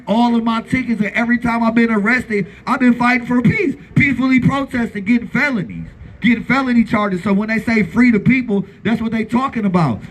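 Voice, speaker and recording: A man's voice: sits high, a bit bright, rough recording. A man in his thirties giving a blunt, profane and impassioned speech at an outdoor rally, repeating phrases for emphasis. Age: 30s. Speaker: activist speaker at a rally. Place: outdoor gathering.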